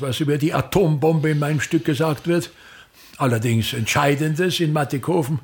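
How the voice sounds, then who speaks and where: A pompous, self-pitying touring theatre impresario in a radio play. The voice is fairly high for a man and heavily creaky, with a husky edge. He declaims with grand disdain, as if every complaint belonged on a stage. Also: heavily acted. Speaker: actor playing a travelling playwright-actor. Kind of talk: theatrical radio drama monologue.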